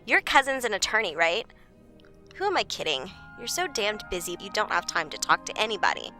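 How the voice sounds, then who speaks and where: A woman's voice: mid-range voice, very animated, brisk, pushed and bright, soft background music. An actress playing a whiny, self-pitying, defensive woman with a melodramatic, complaining manner in an audio drama. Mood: indignant, self-pitying.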